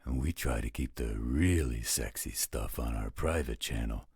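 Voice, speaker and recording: A man playing a protective, teasing werewolf guardian in a roleplay audio drama, speaking with calm, affectionate assurance. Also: extremely low pitch, moderate pace, husky, no room sound, studio quality, exaggerated voice. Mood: calm, explaining.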